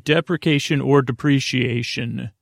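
A man hosting a sleep podcast in a creaky, mumbling, soothing voice, rambling haltingly with many fillers and restarts. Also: medium pitch, no echo, studio quality. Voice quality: mumbling, mushy-mouthed. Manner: lulling, soothing, meandering. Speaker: podcast host.

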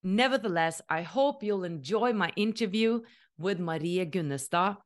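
Chatty woman from Norway, low voice. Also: lively intonation, moderate pace. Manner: enthusiastic, chatty, many "like"s, laughs. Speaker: podcast host and interviewer. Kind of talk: casual podcast intro monologue.

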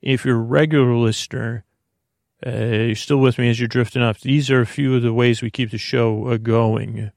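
A man hosting a bedtime podcast in a meandering, halting monologue, reassuring the listener, often repeating himself and trailing off mid-thought. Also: medium pitch, steady tone, vocal fry, darkish tone, no echo, studio quality. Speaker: podcast host. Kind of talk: rambling bedtime monologue to help listeners sleep.

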